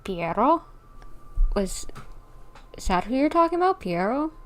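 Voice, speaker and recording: A woman's voice: high-pitched, big pitch swings, a little slow. A woman livestreaming a game, giving casual commentary and thinking aloud informally as she plays.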